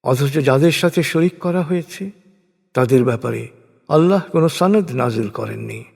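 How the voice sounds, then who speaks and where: A man gives a solemn, scripted reading of translated scripture in measured, declamatory phrases. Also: mid-range voice, gravelly, a little mellow, slightly rough. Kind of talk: solemn scripted religious recitation of translated verses. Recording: long hall-like reverb.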